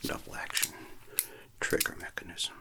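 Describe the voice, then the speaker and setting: A man playing a shady underworld arms dealer in a noir ASMR roleplay, speaking in hushed, deliberate, terse fragments with a gravelly voice. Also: natural voice. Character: Blind Joe, shady slow-talking male underworld arms dealer.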